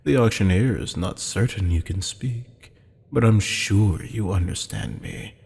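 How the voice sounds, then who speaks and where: A man playing a haughty, teasing demon buyer in an ASMR roleplay drama, with a low, gravelly, husky voice and a taunting, mock-polite manner. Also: unhurried, darkish tone, heavily acted. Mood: taunting, menacing. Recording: long hall-like reverb.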